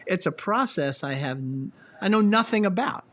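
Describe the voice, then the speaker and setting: A man hosting an interview, curious and animated, asking questions with frequent restarts. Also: high-pitched, normal speed. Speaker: interview host. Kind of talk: remote interview conversation.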